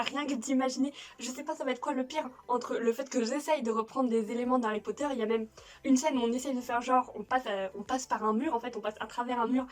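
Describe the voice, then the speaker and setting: A young woman from France chatting casually as a YouTuber in her room at home, self-mocking, with frequent laughs and restarts. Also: fairly high pitch. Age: young adult. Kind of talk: casual vlog chat. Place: bedroom at home.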